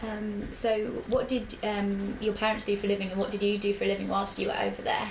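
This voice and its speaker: A young woman asks interview questions in a polite, tentative way, often hesitating on fillers. Her voice has a gravelly edge. The room echoes, and steady background noise sits under her. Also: mid-range voice, fairly quick. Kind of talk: oral history interview.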